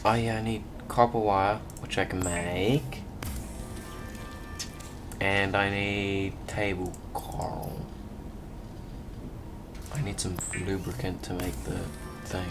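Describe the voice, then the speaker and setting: A man's voice: deep voice, flat delivery, takes it slowly, pauses often, over music. A man doing casual gameplay commentary, muttering to himself and reading game text aloud with occasional stumbles. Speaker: video game player. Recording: clearly noisy recording with background hum.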